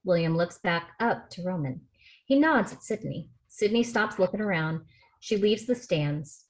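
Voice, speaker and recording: A woman with a fairly low voice narrates a film script. She reads the stage directions plainly between the actors' parts on a video call. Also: poor audio. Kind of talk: scripted narration at a table read.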